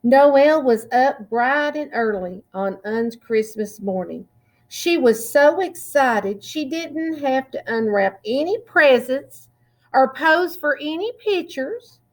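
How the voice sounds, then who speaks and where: Woman, storytime reader, slightly high pitch, very unhurried, expressive, drawn-out words, children's read-aloud. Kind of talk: read-aloud picture book narration for children.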